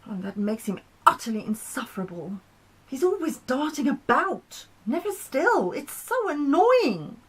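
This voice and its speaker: Woman acting out a whiny, petulant moon, pitched up. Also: sing-song, a little slow, rough recording. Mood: sulky, exasperated. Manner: indignant, complaining. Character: petulant, whiny female moon, sulky and indignant.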